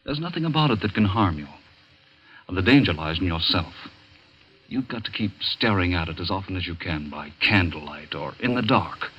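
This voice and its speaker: A stern male doctor in a vintage radio drama. His voice is very low and husky. He speaks firmly and deliberately, admonishing with cool, controlled authority. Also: animated, a bit bright, exaggerated voice, rough recording. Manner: firm, measured, admonishing. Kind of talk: 1940s radio drama acting.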